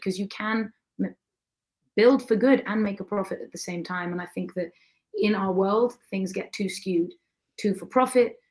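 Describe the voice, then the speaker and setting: Hoarse young woman chatting on a video call. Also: medium pitch. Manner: quick, rambling talk with many ums. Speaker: musical guest, singer-songwriter. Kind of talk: casual chat on a livestream. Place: home studio, indoors. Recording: acoustic guitar behind the voice.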